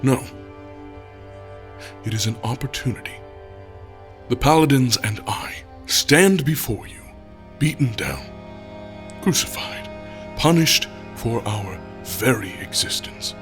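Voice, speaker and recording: A commanding leader addresses a divided council in an audio drama. He talks slowly and gravely, with a quiet menace behind each word. Faint music sits under the scene. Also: mid-range voice, lively intonation. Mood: resolute. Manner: measured, solemn, quietly menacing.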